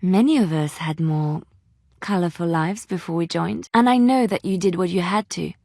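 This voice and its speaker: An actress playing a devout, gentle young woman rogue in a fantasy video game, earnest and polite. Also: on the low side, normal speed, no room sound, studio quality. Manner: earnest, polite, softly pleading.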